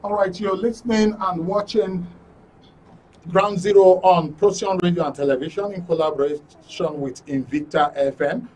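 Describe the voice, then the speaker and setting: A man with a Nigerian accent hosts a live studio talk show, announcing in a deliberate, explanatory way. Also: on the high side, darkish tone. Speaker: radio and TV show host. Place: radio and TV studio. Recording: distant microphone with faint background noise.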